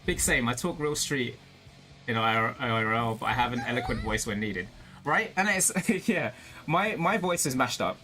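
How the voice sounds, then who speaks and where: A young British man with a slight American tinge to his accent talks casually to his stream at a middle pitch. He rambles through fillers and restarts and laughs often. Music plays clearly under his voice. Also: lively intonation, moderate pace, sharp tone. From Britain. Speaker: VTuber streamer. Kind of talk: casual chat with stream viewers. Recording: music playing behind the voice.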